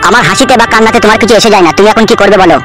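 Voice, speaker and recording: A man's voice: sits high, fairly even intonation, racing, music in the background, lightly acted. A man voicing a smug young henchman, bossy and persuasive in a comedy cartoon. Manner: bossy, matter-of-fact persuading.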